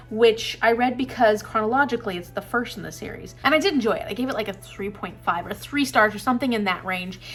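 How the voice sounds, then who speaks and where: A woman's voice: medium pitch, fairly bright tone. A young woman chatting casually in an upbeat, enthusiastic vlog, laughing often. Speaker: booktuber.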